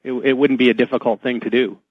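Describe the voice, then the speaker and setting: A man as a radio guest, explaining things haltingly and often repeating words. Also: mid-range voice, steady tone, breathy edge, no echo. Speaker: talk show guest. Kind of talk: explanatory radio interview talk. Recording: dull, lo-fi dry radio feed.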